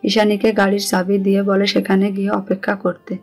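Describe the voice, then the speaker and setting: A woman's voice: mid-range voice, completely flat delivery, a little fast. A woman with a Bangladeshi accent reading scripted narration of a romantic story. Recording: music under the voice.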